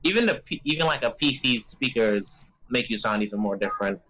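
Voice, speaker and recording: Young male co-host, animated intonation, stop-start phrasing, chatty on a voice call. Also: medium pitch, poor audio. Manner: halting, restarts words, laughs often. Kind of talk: casual chat. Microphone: computer microphone on a voice call.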